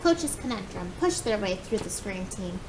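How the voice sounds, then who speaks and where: A woman with a mid-pitched voice reads a children's book aloud. Her delivery is expressive and animated. The recording has clearly audible noise and a low rumble behind her. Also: moderate pace. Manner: expressive, acts out dialogue. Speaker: read-aloud storyteller.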